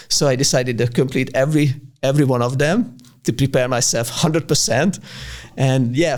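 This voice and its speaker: A man with a Hungarian accent interviewing casually, hesitant and halting as he searches for words. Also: medium pitch, vocal fry.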